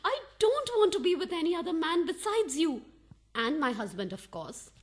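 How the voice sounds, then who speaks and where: Unfaithful wife played by an actress, radio farce: high voice, anxious, pleading, dramatic. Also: female voice, big pitch swings, normal speed. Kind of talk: farcical radio play acting.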